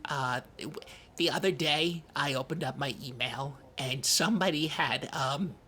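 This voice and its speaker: A performer playing a needy, wounded, childlike podcast host, talking in a halting, earnest, hesitant way in improvised comedy. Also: medium pitch, pushed and bright. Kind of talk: improvised deadpan comedy talk. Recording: noticeable background noise, lobby ambience.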